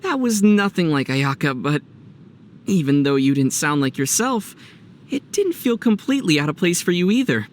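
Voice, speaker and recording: A young adventurer from a video game. The voice is light and youthful, with animated pitch and an easygoing, gently teasing manner. Faint noise sits behind it. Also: male voice, moderate pace. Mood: wry. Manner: teasing, playful. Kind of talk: video game character dialogue.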